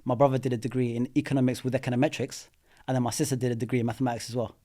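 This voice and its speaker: A man's voice: medium pitch, very fast. Thirty-something man telling his story. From London. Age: 30s. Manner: relaxed storytelling, many fillers like "you know". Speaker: guest. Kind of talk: casual interview chat. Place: studio.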